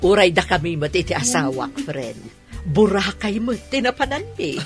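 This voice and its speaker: A woman's voice: lowish voice, animated, normal speed, soft background music, close to an everyday voice. An actress playing a chatty married village woman in an Ilocano radio drama, with an Ilocano accent. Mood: amused. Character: chatty, giggly married village friend at a wedding party.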